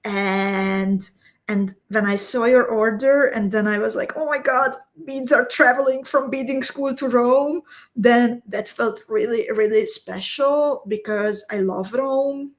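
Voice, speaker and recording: Slow-talking woman teaching beading. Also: a little high, steady tone. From Slovakia. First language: Hungarian. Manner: slow, step-by-step instructions, chatting with viewers. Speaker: beading instructor. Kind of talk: live-stream craft tutorial.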